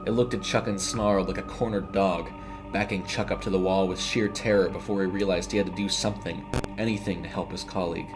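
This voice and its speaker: Male narrator, low pitch, dramatic scripted horror storytelling, music behind the voice. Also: fairly even intonation, a little fast.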